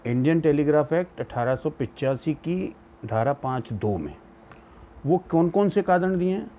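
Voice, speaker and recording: Indian male legal explainer, fairly high but soft, mellow voice, informal lecture delivery with English terms mixed in. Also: lively intonation, measured pace, a little hiss or hum.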